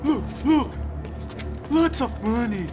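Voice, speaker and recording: A man's voice: very high voice, lively intonation, takes it slowly, over music, full character voice. An actor playing a terrified, grovelling male doctor who pleads frantically for his life in a video game. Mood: pleading, frightened. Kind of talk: dramatic video game cutscene acting.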